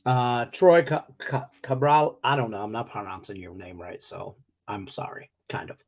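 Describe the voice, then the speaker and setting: A man's voice: fairly low pitch, fairly even intonation, moderate pace, darkish tone. A middle-aged man hosting a sports betting show, chatting loosely and joking, his voice congested and slightly cracky from a cough. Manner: loose and joking, rambling with many fillers. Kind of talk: casual live-stream chat.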